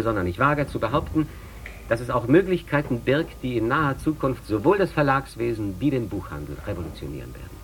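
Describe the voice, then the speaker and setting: An actor playing a confident lecturer, giving an articulate, formal and persuasive scripted speech. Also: male voice, mid-range voice, slight background noise, lightly acted, rough recording. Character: male lecturer confidently promoting computer progress.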